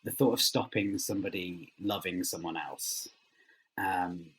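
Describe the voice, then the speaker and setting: A man being interviewed as a writer, speaking reflectively and haltingly as he searches for words. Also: sits low, fairly bright tone, slight background noise, low-quality recording.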